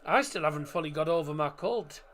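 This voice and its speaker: A man's voice: a little high, brisk, a bit bright. A man hosting a live football watchalong, rambling and chatting to viewers, swearing freely. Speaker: watchalong host.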